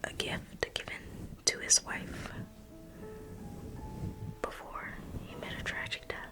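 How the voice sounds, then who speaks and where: A woman's voice: slow, loud music behind the voice. A young woman whispering an ASMR roleplay as a museum guide, playful and teasing like a salesperson.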